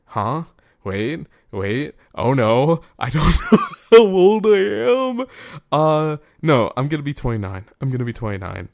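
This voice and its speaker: A man's voice: a little high, very animated, measured pace, gravelly, a little mellow, dry recording, clean studio sound. A man in his twenties hosting a solo podcast, rambling casually, swearing freely and laughing at himself. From United States. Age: 20s. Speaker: podcast host.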